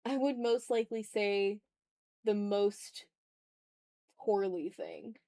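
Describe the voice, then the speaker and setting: A woman's voice: mid-range voice, steady tone, slow pace, mellow, no echo, clean studio sound. A woman chatting casually as she draws on a livestream, teasing her viewers with playful mock exasperation. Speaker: art streamer. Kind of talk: casual livestream chat while drawing.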